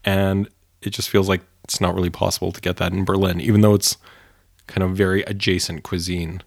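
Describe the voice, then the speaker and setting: A man co-hosting a podcast, chatting casually with quick, wry asides. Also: deep voice, flat delivery, gravelly, no room sound, studio quality. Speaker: podcast co-host. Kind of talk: casual chat.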